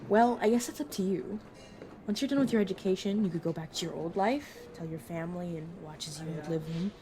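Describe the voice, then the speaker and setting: A woman playing a brisk, protective vampire mentor in an audio roleplay, talking casually and teasingly to a new fledgling. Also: lowish voice, mellow, slight background noise, lightly acted. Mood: explaining, wry. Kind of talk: narrative audio roleplay, addressed to the listener.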